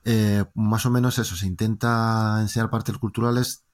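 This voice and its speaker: A man from Spain with a Spanish accent, speaking as an interview guest in a relaxed conversation and telling lively, joking stories in long winding turns. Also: fairly low pitch, moderate pace.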